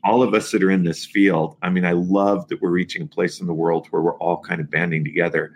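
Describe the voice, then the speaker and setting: A man's voice: low-pitched, little pitch movement, a little fast, a little hiss or hum. A man in his fifties, a nutrition coach talking as a guest on a podcast interview, emphatic and motivational. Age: 50s.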